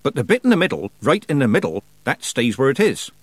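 A stern old witch of the countryside, performed by a male narrator, growling and husky. She talks in brusque, folksy phrases that swing up and down with prickly authority. It is a storybook character, recorded close and dry. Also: mid-range voice, wildly swooping pitch, quick pace, no room sound, voice pushed up. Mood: triumphant, instructive. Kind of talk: audiobook character voice.

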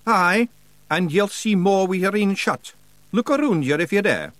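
A man voicing a tiny gruff Scottish fairy warrior chief from a storybook, with blunt, bantering dialect. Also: sits high, expressive, normal speed, a bit bright, dry recording, voice pushed up. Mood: grim, urgent. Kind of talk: audiobook storybook character voice.

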